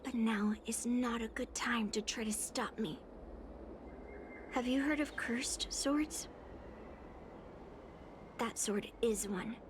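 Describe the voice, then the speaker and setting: An actress playing a stubborn, angry young swordswoman in a video game, speaking in a deliberate, dramatic way with music behind her. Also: fairly high pitch, fairly even intonation, background noise. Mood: stern. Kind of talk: scripted video game dialogue. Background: clear game music.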